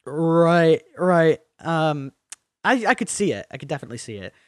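A young man co-hosting a podcast, chatting casually, rambling, swearing freely and getting animated in bursts. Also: on the high side, moderate pace, dry recording, studio quality. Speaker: podcast co-host. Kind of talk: casual chat.

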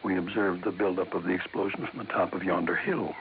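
An actor in a 1950s radio drama playing a dignified, assured government scientist with a formal, measured manner. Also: male voice, deep voice, fairly even intonation, natural voice. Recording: tinny, slightly hissy 1950s radio recording.

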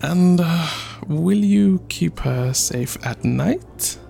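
A man's voice: mid-range voice, expressive, moderate pace, gravelly, soft background music. A man voicing a timid, flustered village chief in a folktale, stammering and meek. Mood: nervous, meek. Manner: stammering, meek, squealing weakly.

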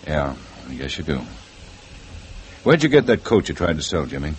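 An actor playing a calm, probing male police lieutenant in a 1950s radio drama, questioning steadily. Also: very deep voice, moderate pace. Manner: steady, patient questioning with lively swings. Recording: old radio broadcast with faint hiss.

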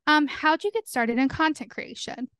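Woman in her thirties, podcast co-host, bubbly, high pitch, dry close sound. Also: moderate pace, fairly bright tone, no room sound. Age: 30s. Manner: bubbly and giggly, lots of fillers. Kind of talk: casual chat.

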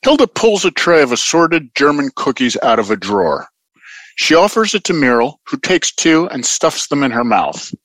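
A man's voice: a little high, huge pitch swings, normal speed, a bit bright, no room sound. A man giving scripted narration as the announcer of an audio play, reading stage directions.

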